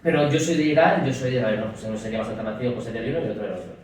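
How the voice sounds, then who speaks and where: A man speaking at a political meeting, pushing his points rapidly and looping back with restatements, recorded in a meeting room. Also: medium pitch, darkish tone. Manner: rapid, talks in restarts with frequent "es decir". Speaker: speaker at a party talk. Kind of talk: public talk and Q&A. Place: meeting room at a party office. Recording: roomy echo, distant mic, faint hiss.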